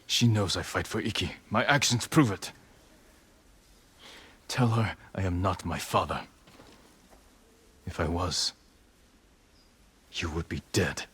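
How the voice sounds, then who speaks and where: A low-voiced, grim young samurai. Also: male voice, slow, pauses often, fairly bright tone, husky, exaggerated voice. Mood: stern resolve. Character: grim young samurai lord haunted by his father's death. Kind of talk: dramatic video game cutscene acting.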